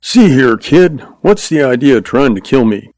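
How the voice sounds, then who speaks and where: A man's voice: mid-range voice, very animated, normal speed, darkish tone, no echo, pitched above the performer's own voice, close to an everyday voice. A man voicing a hardened, weary Western gunfighter who talks in a dry, level, laconic cowboy way. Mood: stern. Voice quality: hard, level voice.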